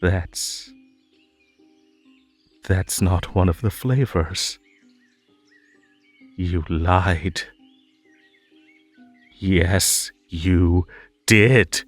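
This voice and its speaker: A man's voice: sits low, drawn-out words, long pauses, no room sound, soft background music, full character voice. A man voicing a possessive, unhinged hare host in a theatrical roleplay audio drama, giggly and stammering, swinging from gushing to menacing. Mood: cold, offended.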